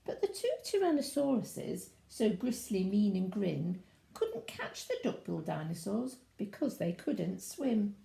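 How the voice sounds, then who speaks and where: A woman's voice: medium pitch, big pitch swings, unhurried, vocal fry, dark tone, echoey room, low-quality recording. A woman reading a children's storybook aloud expressively, in a sing-song way, with asides to young listeners.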